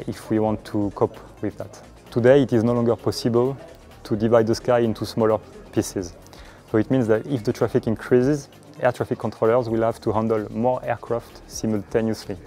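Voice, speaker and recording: Man explaining, mellow low-brightness voice. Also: flat delivery, normal speed. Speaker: air traffic control expert interviewee. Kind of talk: explanatory corporate video interview. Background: background music.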